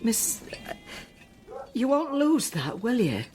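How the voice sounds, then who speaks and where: A woman acting in a radio drama as a distraught, devout mother, speaking in a halting, emotional way. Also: fairly high pitch, sing-song, darkish tone, slight background noise. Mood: pleading.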